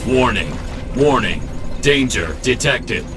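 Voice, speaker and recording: A protective machine with a mid-pitched male voice. It is stern and mechanical, issuing clipped announcements without feeling, over clear game music. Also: lively intonation, takes it slowly. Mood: stern alert. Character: stern protective robot guardian, flat and mechanical. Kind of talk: video game cutscene voice acting.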